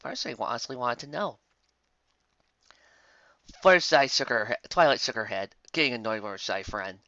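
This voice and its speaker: Story narrator, medium pitch, bright tense tone, dramatic scripted reading, occasional stumbles, dry slightly muffled home recording. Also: animated, moderate pace, no room sound. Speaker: fan fiction narrator.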